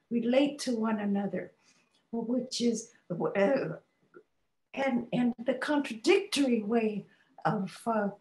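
Older woman author, slow and halting, many restarts; echoey home video-call sound. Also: a little high, mellow. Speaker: author and guest. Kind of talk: conversational interview answers. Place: home study with bookshelves, indoors.

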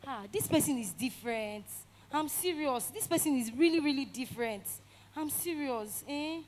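An actress plays an anxious, caring young Nigerian woman in a drama skit. Her voice sits fairly high, and she pleads in repeated, exclamatory bursts as a consoling friend. The recording has clear background noise. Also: lively intonation, moderate pace, a little mellow. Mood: pleading, coaxing. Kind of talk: emotional dialogue acting with some Pidgin.

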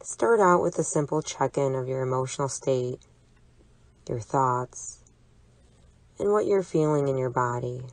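Female meditation guide, low register, gently instructive and deliberate, in a dry, echo-free recording. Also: expressive. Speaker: psychologist leading a relaxation exercise. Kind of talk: guided imagery meditation narration.

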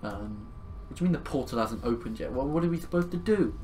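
A man's voice: mid-range voice, expressive, fast, darkish tone. Casting: young male co-player, mid-range voice, sweary casual commentary, game ambience behind. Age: young adult. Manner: sweary, drops in French exclamations. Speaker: guest co-player. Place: small indoor room. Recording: clear game noise behind. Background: horror game ambience.

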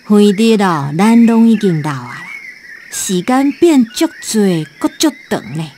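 A woman's voice: medium pitch, sing-song, unhurried, mellow, slight background noise. A woman playing a feisty elderly farm wife in a radio drama, nagging and earthy but fond, with a Taiwanese accent. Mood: fond, resigned.

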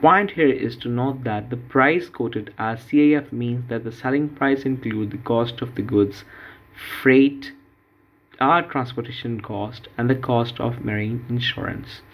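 A man delivers a step-by-step lecture at a slow pace. His pitch sits in the middle of the male range. He explains in a halting way, often pausing and repeating words as he goes. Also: muffled recording.

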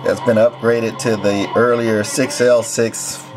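Low-voiced man, clipped and matter-of-fact. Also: level tone, slow pace, music in the background, rough recording. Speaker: radio seller showing equipment. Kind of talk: casual product walk-through.

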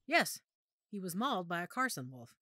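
A woman playing a knowledgeable werewolf pack member in an audio drama, speaking in a measured, authoritative, explanatory way. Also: lowish voice, big pitch swings, bright, forceful tone, dry recording, studio quality, heavily acted. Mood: informative, assured. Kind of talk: audio roleplay drama acting.

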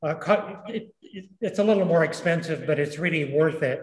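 A man's voice: on the high side, normal speed. Older man chef in an echoey kitchen. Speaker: chef and cooking teacher. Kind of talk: cooking demonstration. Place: large commercial kitchen. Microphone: camera at a distance.

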